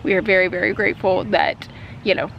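A woman's voice: on the low side, fairly quick, vocal fry, highs cut off, a little hiss or hum. A young woman chatting casually to camera outdoors in a backyard, in a conversational way sprinkled with "like" and "you know". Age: young adult. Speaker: homesteading family vlogger.

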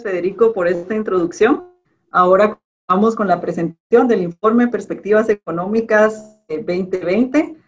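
A woman in her thirties formally moderating an online event over a video call. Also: lowish voice. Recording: dull video call audio.

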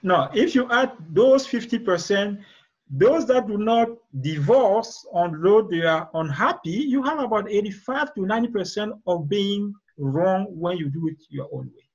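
A man from Cameroon with a Cameroonian accent and a husky voice, explaining his views as an interview guest on a video call. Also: high voice, animated, measured pace. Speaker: guest author and relationship expert. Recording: dull, lo-fi video call audio.

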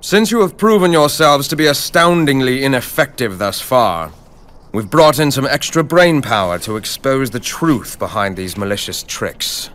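A man playing a haughty, scornful investigator in an animated game cutscene, pompous and condescending. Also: mid-range voice, moderate pace, lightly acted.

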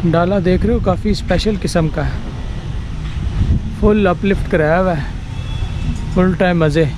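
A man's voice: sits high, normal speed. A man with a Pakistani Urdu accent casually walking through an outdoor workshop yard, pointing out vehicles in a chatty tour. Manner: chatty, pointing things out, frequent "ji" and "dekh rahe ho". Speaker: vlogger touring a vehicle workshop. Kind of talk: casual walk-and-talk tour. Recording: outdoor street noise behind voice.

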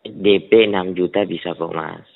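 Man on tinny phone call, very deep, gravelly, polite and eager to please. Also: fairly even intonation, moderate pace. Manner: polite, eager to please, repeats "siap, Mas". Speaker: suspected scam seller on a phone call. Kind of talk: phone conversation. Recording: tinny phone-line recording.

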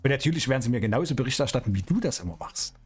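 Male game streamer, husky and very animated, casual teasing delivery, music behind. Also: mid-range voice, very fast. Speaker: streamer playing a role-play game. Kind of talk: casual in-character gaming chat. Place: indoor streaming room.

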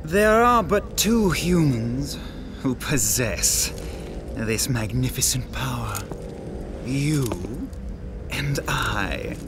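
A man's voice: mid-range voice, lively intonation, very slow. An actor playing a sadistic, gloating male villain in a fantasy game, mocking and theatrical, drawing out his words. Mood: sinister, boastful. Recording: music behind the voice.

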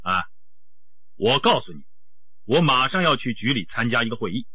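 An actor playing a gruff, bossy police chief who is firm but caring, in a radio drama. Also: male voice, mid-range voice, very animated, moderate pace, sharp tone, no room sound, dull audio, full character voice. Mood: commanding. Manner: bossy, insistent, colloquial scolding.

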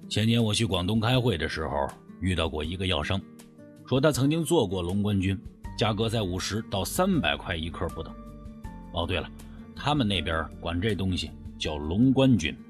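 A man voicing an older medicine teacher in an audiobook, measured and reflective, speaking in an understated, reluctant way. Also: deep voice, animated, faint music behind, voice pushed down. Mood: matter-of-fact.

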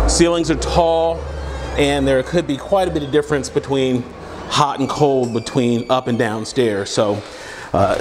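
Man, Virginian accent, real estate agent, friendly and explanatory, medium pitch, long reverb in empty rooms. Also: noisy background. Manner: friendly, explanatory, corrects himself mid-sentence. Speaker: real estate agent giving a house tour. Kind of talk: walkthrough home tour narration. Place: empty house with bare walls and wood floors, indoors.

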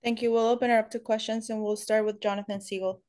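A woman moderating a press conference, brisk, polite and businesslike as she hands the floor to each reporter. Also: a little high, flat delivery, darkish tone.

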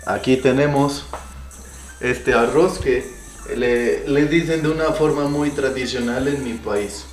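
A man presenting dishes in a jokey, faux-solemn, halting way. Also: medium pitch. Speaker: guest food taster. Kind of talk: mock-formal food presenting. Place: room in a home, indoors. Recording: roomy echo, faint music.

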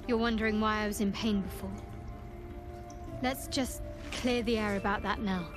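A woman voicing a guarded, wry adventurer in a video game, with a dry, measured way of talking. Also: mid-range voice, fairly even intonation, over music, close to an everyday voice. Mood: guarded calm.

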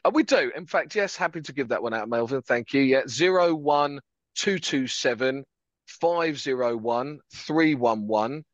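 A man's voice: medium pitch, animated, measured pace, no echo. A man, a guest chatting upbeat and casually on a podcast over a headset video call. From France. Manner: upbeat, chatty, self-deprecating humour. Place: home office, video call. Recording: dull, slightly lo-fi call audio.

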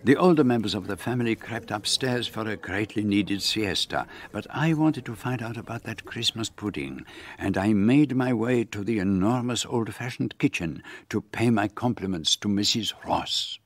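A man narrating a radio mystery play in a measured, calm way. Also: fairly low pitch. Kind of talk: scripted narration.

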